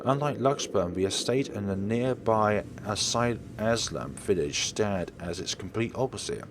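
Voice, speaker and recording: A man's voice: on the low side, lively intonation, a little slow, noisy background. A man hosting a let's play, reading visual novel narration aloud and sometimes stumbling and correcting himself. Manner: stumbles over read lines and corrects himself.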